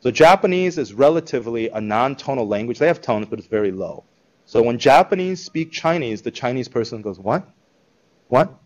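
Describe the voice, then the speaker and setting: A man giving an informal talk to a live audience, conversational and animated, acting out quoted reactions. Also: medium pitch, moderate pace, highs cut off. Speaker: speaker presenting a school project.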